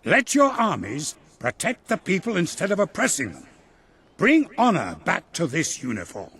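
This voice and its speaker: An actor playing a proud, loyal older gentleman army major in a video game, with formal, theatrical military speech. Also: fairly high pitch, wildly swooping pitch, normal speed, breathy edge, exaggerated voice. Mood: earnest, solemn. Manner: pompous, formal military phrasing. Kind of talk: video game character acting.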